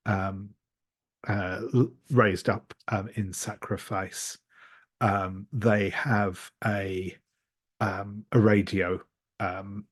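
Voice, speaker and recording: A man telling an improvised tabletop roleplay story, haltingly searching for words. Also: fairly low pitch, dry recording. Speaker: tabletop game participant.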